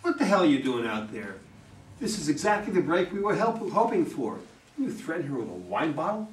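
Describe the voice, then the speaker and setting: A man's voice: a little high, sing-song, a little fast. A man playing a blunt, pragmatic political campaign manager in a play reading, emphatic and urging. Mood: exasperated. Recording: echoey room, distant, noisy.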